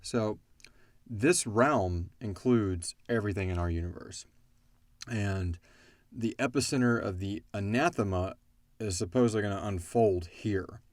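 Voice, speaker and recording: Male podcast host, low voice, informal and tangent-prone, thinking aloud in a dry, close-sounding recording. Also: measured pace, no room sound. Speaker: podcast host and audio drama creator. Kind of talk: casual question-and-answer chat.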